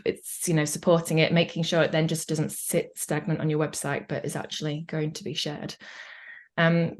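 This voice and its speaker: A woman with a distinctly low voice talks as a guest on a podcast. Her delivery is casual and candid, with plenty of self-deprecation. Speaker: communications manager, podcast guest. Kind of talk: casual chat.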